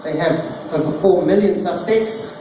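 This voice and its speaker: Deadpan kiwi comic in an echoey bar. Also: male voice, high-pitched, moderate pace. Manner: deadpan pun delivery. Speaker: stand-up comedian. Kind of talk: stand-up one-liners. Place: small bar stage, roomy and echoey. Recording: echoey distant bar recording, noisy. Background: small crowd laughing, bar noise.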